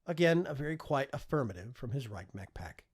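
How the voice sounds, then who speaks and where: A man narrating a fiction audiobook with expressive, scripted storytelling delivery. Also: medium pitch, fairly quick, husky.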